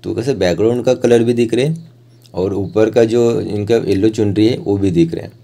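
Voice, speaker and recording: A man with an Indian accent narrating a step-by-step art tutorial informally, in short phrases with hesitant pauses. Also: on the low side, creaky, mellow.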